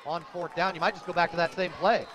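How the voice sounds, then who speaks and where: An American man calling live football play-by-play on the radio with energetic, clipped calls. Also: high voice, fast talker. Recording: live outdoor broadcast with crowd noise. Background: stadium crowd.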